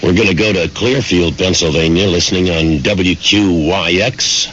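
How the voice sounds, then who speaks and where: A man hosting a live call-in radio interview, joking easily with callers and announcing in a slow, deliberate way. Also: low-pitched, fairly bright tone, slight background noise. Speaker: radio host. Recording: dull lo-fi radio broadcast.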